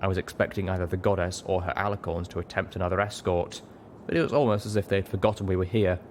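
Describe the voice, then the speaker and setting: A man's voice: sits low, fairly even intonation, quick pace, slight background noise. A man reading first-person fiction aloud as scripted audiobook narration. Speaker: audiobook reader.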